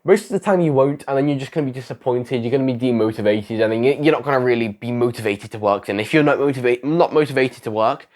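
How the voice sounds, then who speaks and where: A man's voice: medium pitch, fast, hardly any pauses, a little mellow. Sick young man rambling vlog advice. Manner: rambling, self-correcting, repeats phrases. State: sick, voice going. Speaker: YouTuber giving advice. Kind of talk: casual talking-head advice vlog.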